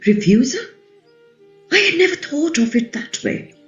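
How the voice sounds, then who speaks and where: A woman's voice: high voice, sing-song, unhurried. A woman playing a fierce, proud ancient Indian queen in a formal, theatrical play reading. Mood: curious. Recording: echoey, slightly dull, music underneath.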